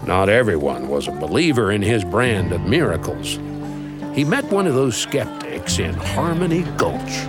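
Man narrating, folksy, wry, very animated, guitar music behind. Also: on the low side, normal speed. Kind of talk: scripted storytelling narration.

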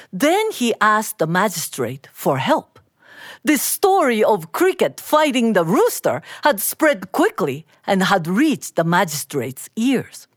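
A woman with a Japanese accent telling a folktale aloud in an animated, measured, dramatic way. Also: medium pitch, no room sound, clean studio sound. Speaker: storyteller. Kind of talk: live oral folktale storytelling.

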